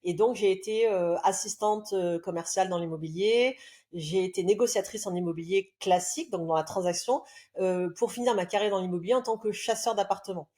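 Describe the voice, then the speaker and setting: A woman's voice: medium pitch, fairly quick, dark tone. A woman in her forties from southern France, a love coach guest on a podcast, telling her story in a reflective, earnest way with frequent hesitations. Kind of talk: podcast interview, personal storytelling.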